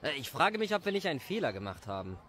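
Worried, doubting husband in an audio story, played by a man: highish, lively voice, some noise behind. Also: fast. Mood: skeptical, uneasy.

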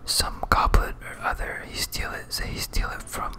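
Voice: normal speed, lightly acted. An ASMR gamer voicing a dim, stubborn, squabbling goblin general in a whisper, with broken grammar. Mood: matter-of-fact. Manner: broken caveman-like grammar, bickering.

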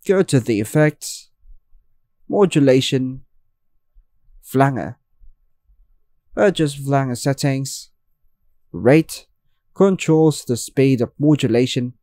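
A man reading a scripted software tutorial as a voice-over, in an even read-aloud manner. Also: medium pitch, no echo, studio quality. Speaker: tutorial narrator. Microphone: voice-over over screen recording.

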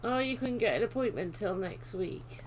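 A British woman chatting casually as she livestreams a game, unhurried and half-absorbed, her voice slightly going. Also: mid-range voice, lively intonation, slight background noise. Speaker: livestream host. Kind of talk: casual livestream chat while gaming. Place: indoor room at home.

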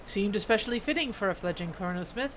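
A woman's voice: mid-range voice, animated, fast, fairly bright tone, close to an everyday voice. Lady doing a proud old dwarf smith from a fantasy game, folksy, some noise behind. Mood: reminiscing. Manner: folksy, proud, reading scripted dialogue. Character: gruff hearty old male dwarf blacksmith.

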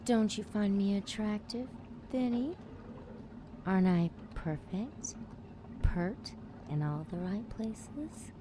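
A woman's voice: mid-range voice, takes it slowly, pauses often, noisy background, close to an everyday voice. An actress playing a seductive, menacing supernatural temptress in a radio drama, coaxing as she speaks.

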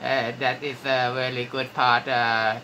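A man with a Thai accent speaking halting, courteous English as an amateur radio operator, giving call signs and sign-offs. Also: medium pitch, flat delivery, bright, forceful tone, a little hiss or hum. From Thailand. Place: small room at a radio desk, indoors.

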